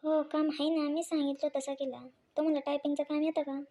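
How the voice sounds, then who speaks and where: A woman's voice: high-pitched, little pitch movement, fairly quick, dark tone, lightly acted. A woman playing a courteous, approving office boss in a family story cartoon. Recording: faint background noise.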